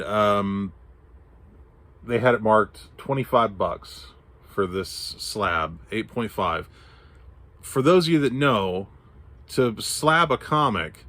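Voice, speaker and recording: A low-pitched man from Texas talks through his comic pickups in an easy, rambling way. His throat is scratchy from allergies, and he stops and restarts often as he goes. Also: animated, slow pace, long pauses, a bit bright, no echo. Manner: rambling, many fillers and restarts. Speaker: comic haul vlogger. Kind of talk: casual show-and-tell chat.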